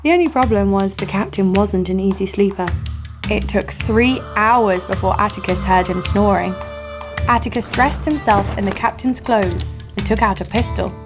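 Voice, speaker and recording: A woman's voice: mid-range voice, moderate pace, natural voice. An actress playing a bold, sarcastic young female adventurer who tells her own tale in an audio drama. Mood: wry storytelling. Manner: sarcastic, dry. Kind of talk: first-person audio drama narration. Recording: music under the voice. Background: instrumental music.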